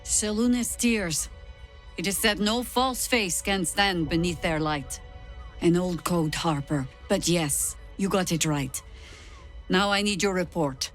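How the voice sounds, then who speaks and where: An actress playing a stern, weary veteran woman commander in a video game, speaking in a measured, grave and authoritative way. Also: fairly low pitch, faint music behind. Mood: stern, probing. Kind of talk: dramatic video game acting.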